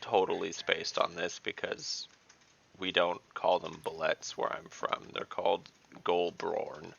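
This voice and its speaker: A man with a very low, creaky voice chats casually as a player in a tabletop game. He speaks haltingly, often starting a question over, over slightly muffled online call audio. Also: flat delivery, fairly bright tone.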